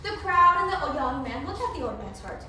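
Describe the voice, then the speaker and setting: A woman's voice: high voice, big pitch swings, fairly quick, sharp tone. A woman performing scripted theatrical narration as a readers theatre performer. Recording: very echoey room, distant mic, faint noise.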